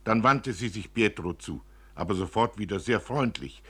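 Deep, husky male storyteller. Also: lively intonation, moderate pace, a bit bright. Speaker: narrator. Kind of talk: expressive literary narration for radio.

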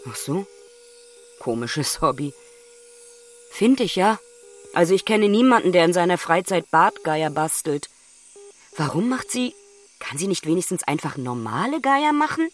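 A woman playing an exasperated grown daughter on the phone with her father in a radio play, impatient and pausing to listen. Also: lowish voice, slight background noise. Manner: impatient, one-sided phone chat with pauses. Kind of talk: naturalistic radio play dialogue.

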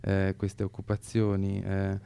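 A man speaking as an activist on the radio, explaining his views earnestly in a halting, measured way. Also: sits low, monotone, vocal fry, dark tone, smooth, no room sound. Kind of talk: earnest political discussion.

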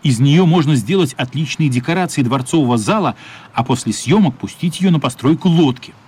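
A man's voice: on the high side, lively intonation, a little fast, a little mellow, slightly rough. A man reading scripted storytelling narration for a radio play. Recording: music playing behind the narration.

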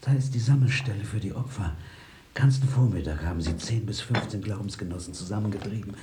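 A man playing an anxious, fatalistic critic in a radio play, theatrical and halting. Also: lowish voice, dark tone, lightly acted. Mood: urgent, haunted. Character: anxious, haunted Viennese critic, rambling and fatalistic. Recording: roomy radio recording with tape hiss.

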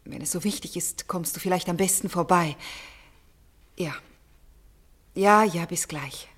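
An actress playing a troubled, suspicious young lady of the house in a German radio play, with a husky, breathy voice and hesitant, restrained delivery. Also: fairly low pitch, measured pace. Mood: polite, subdued.

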